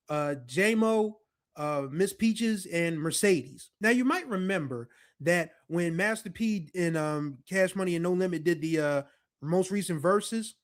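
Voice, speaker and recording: A man in his forties hosting a podcast, talking in a relaxed, rambling way full of fillers. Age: 40s. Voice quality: deeper voice. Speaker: podcast host. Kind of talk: casual nostalgic monologue.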